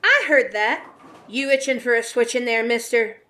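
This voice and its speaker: A woman playing a tough, sassy 1950s barkeep in a noir radio drama, brash and sharp-tongued. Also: fairly high pitch, normal speed. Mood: threatening.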